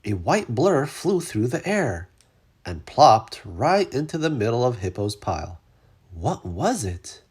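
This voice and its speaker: Animated storybook reader with a husky voice. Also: medium pitch, slow pace. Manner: expressive, dramatic emphasis. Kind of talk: read-aloud children's story narration.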